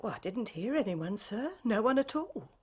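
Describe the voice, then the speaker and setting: An actress playing a deferential, grieving housekeeper in a period detective drama, meek and hesitant, with a breathy voice. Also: female voice, on the high side, big pitch swings, normal speed, a little mellow. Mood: shaken, sorrowful.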